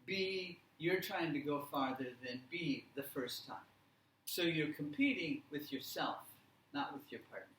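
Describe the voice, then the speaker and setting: A man leads a class in a slightly high-pitched voice, speaking very slowly in short, simple directions. He repeats key steps and checks with his listeners. The room is echoey and he sounds some distance from the mic. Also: fairly bright tone, a little hiss or hum. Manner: deliberate, simple phrasing with repeated instructions and check questions. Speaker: language teacher leading a workshop. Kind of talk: live classroom instruction with simple step-by-step directions. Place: indoor classroom or presentation room.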